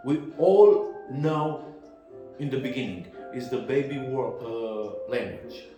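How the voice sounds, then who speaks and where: An older man with a Romanian accent talks in a slow, halting way and keeps stopping to find the right English word. His voice sits at a middling pitch. It rings in an echoey room with music playing clearly behind him. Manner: halting, searching for English words. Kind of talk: casual conversation.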